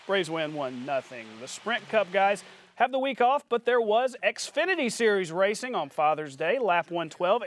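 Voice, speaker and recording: A man reading a scripted television sportscast as anchor, with punchy, announcer-like delivery and highlight narration. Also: a bit bright.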